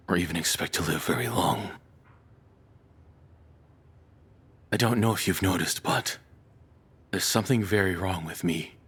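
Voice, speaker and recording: A man playing a deranged, lovesick cannibal captor in an intimate audio roleplay, speaking in a soft, hesitant, confessional way with quiet menace. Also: low-pitched, breathy edge, exaggerated voice. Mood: resigned, lovesick.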